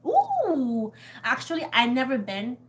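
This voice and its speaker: A woman's voice: high-pitched, huge pitch swings, slow pace. A young Puerto Rican woman with a Puerto Rican accent, rambling and laughing as she chats casually with viewers of her tarot livestream. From Puerto Rico. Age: young adult. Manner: chatty and rambling, laughs often, swears casually. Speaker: tarot reader.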